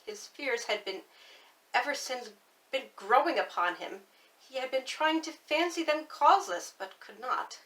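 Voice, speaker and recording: A woman giving a dramatic, spooky reading as a nervous, obsessive mad murderer narrating his crime, swinging between hushed and intense delivery. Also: high voice, expressive, far from the mic, low-quality recording. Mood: tense, brooding. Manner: theatrical, swinging from hushed to intense, deliberate emphasis. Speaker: storyteller reading a classic horror tale aloud.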